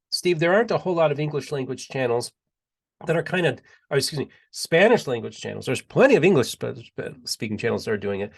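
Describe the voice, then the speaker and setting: A male podcast host chatting casually and enthusiastically, often repeating and restarting his words. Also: medium pitch. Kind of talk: casual interview chat.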